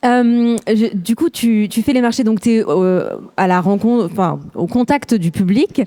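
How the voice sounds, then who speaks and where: A woman's voice: a little high, measured pace, warm tone. A woman asking interview questions hesitantly, with many fillers. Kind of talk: casual interview chat.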